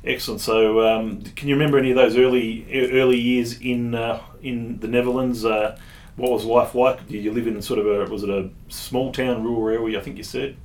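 A man hosting a casual podcast interview, speaking in a halting way with repeated words. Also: medium pitch, level tone, darkish tone, poor audio. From Tasmania, Australia. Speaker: podcast host.

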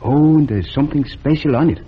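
An actor playing a kind, calm small-town doctor who speaks gently and reassuringly, in 1940s radio acting. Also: male voice, fairly high pitch, fairly quick, husky. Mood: gentle. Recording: muffled old radio broadcast.

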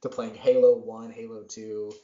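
A man's voice: lowish voice, flat delivery, normal speed, warm tone. A man in his thirties hosting a podcast, talking in a rambling, self-deprecating way full of fillers. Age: 30s. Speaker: podcast host. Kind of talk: casual chat. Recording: roomy, dull lo-fi sound.

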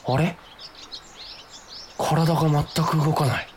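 A man's voice: fairly high pitch, fairly even intonation, slow pace, over a noisy crowd. A man playing an earnest, flustered office worker who sees himself as a hero, acting and narrating a radio drama. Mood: groggy, puzzled. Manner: dramatic inner monologue, earnest.

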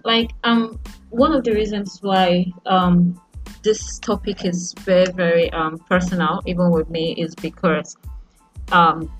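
A young Nigerian woman with a Nigerian accent, a podcast host talking casually and haltingly, full of fillers and restarts. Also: fairly low pitch, fairly even intonation, faint music behind, poor audio. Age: young adult. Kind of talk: casual chat.

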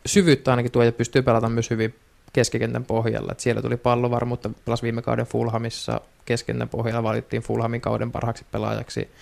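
A man with a noticeable vocal fry gives casual football analysis on a podcast. His pitch is average for a man, and he speaks in an unscripted, conversational way. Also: monotone, hardly any pauses, a little mellow.

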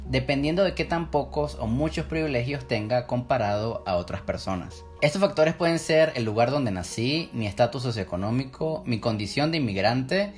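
A man from Venezuela with a Venezuelan accent, hosting his own podcast in a measured solo monologue, slowing down when he poses questions to listeners. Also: medium pitch. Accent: Venezuelan Spanish. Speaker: podcast host.

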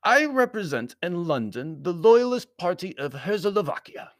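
High-pitched, pompous Baron. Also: male voice, big pitch swings, moderate pace, dry recording, studio quality. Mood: stiff, courteous. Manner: stiff, formal, inverted word order. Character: big bearded foreign Baron, stiff, formal, pompous loyalist envoy.

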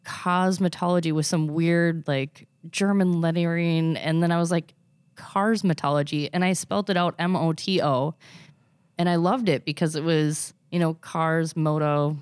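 A woman's voice: on the low side, steady tone, normal speed, clean tone, no echo. A young woman with a Minnesotan accent, chatting as a guest about her car detailing business, rambling and hesitant with lots of fillers. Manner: rambling, hesitant, with many fillers and self-restarts. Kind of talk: casual interview chat.

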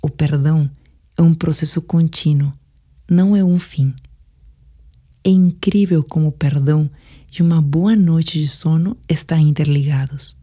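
Brazilian female meditation guide, deep register, relaxed and soft, soothing delivery for sleep narration. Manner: calm, soothing, measured delivery.

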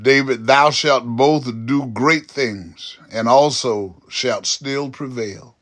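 A man reading the lines of a remorseful older king, slow and subdued. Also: mid-range voice, a bit bright.

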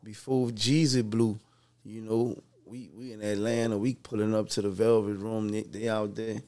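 A man with a low speaking voice tells stories from his years running a record label. He talks in loose, easygoing street slang, full of asides. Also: level tone, normal speed, a little mellow. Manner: laid-back street slang, storytelling. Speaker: record label co-founder, guest. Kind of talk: casual interview chat.

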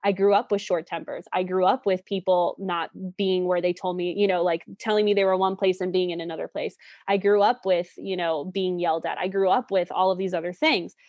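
A woman's voice: fairly low pitch, little pitch movement, a little fast, few pauses, darkish tone, no echo, muffled recording. Young woman explaining things with candid enthusiasm, dry sound. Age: late 20s. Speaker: guest expert explaining relationship psychology. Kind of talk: animated conversational explanation.

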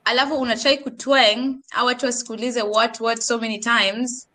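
A woman's voice: on the high side, fairly even intonation, fairly quick, pushed and bright. A young woman from Kenya with a Kenyan accent, chatting animatedly on a podcast and switching between English and Swahili slang. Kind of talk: casual podcast chat.

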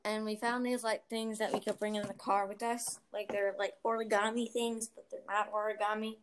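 A woman's voice: mid-range voice, flat delivery, normal speed. Chatty young woman, faint background noise. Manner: chatty, filler-heavy, giggly. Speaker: podcast host. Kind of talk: casual chat.

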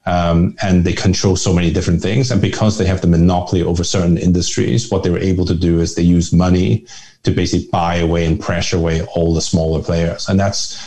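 Deep, mellow-voiced man telling his story. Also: level tone, fast talker. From Northern Ireland. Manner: reflective, repeats words while thinking. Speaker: guest, investor. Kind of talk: conversational storytelling.

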